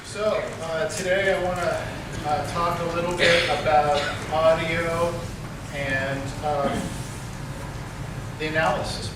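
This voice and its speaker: A man's voice: fairly high pitch, steady tone, slow pace, over a noisy crowd. A man from Washington state giving a casual talk at an event, chatty with a self-deprecating humor. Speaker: guest speaker and field investigator. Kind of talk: casual conference presentation. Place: event venue before a crowd. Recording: echoey hall, distant mic, clear background noise.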